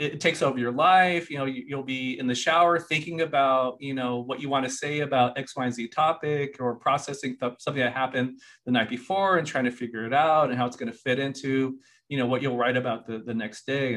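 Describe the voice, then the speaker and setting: A man's voice: medium pitch, fairly bright tone. A man from California's central coast, a university professor and author, talking in a casual podcast conversation with many fillers and restarts. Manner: rambling, many fillers and restarts, laughs now and then.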